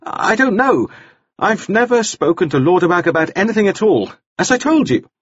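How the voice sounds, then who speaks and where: A man's voice: sits high, very animated, normal speed, slightly rough, no echo, pitched above the performer's own voice, full character voice. A man putting on a nervous, stammering dandy. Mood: anxious. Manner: stammering, anxious, pleading. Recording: dull lo-fi recording.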